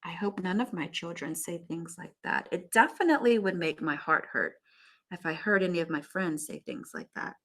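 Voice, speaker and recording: A woman teacher reading a storybook aloud to young children, with expressive emphasis and chatty asides to them. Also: lowish voice, moderate pace.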